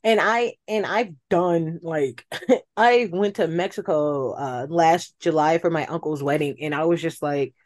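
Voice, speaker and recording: A woman's voice: low voice, a little slow, darkish tone. An American woman in casual chat as a podcast guest, telling stories and acting out reactions.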